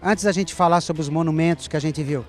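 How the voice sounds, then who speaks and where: Man, Brazilian Portuguese accent, travel show host, outdoors, chatty, fairly high voice, faint music. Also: a little fast. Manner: chatty, easygoing, asks leading questions. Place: outdoors at an ancient temple.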